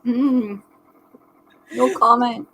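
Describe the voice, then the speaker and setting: A woman on a casual podcast video call answers in short, dry remarks. Her voice sits high. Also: steady tone, very slow, a bit bright, rough recording. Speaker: podcast guest.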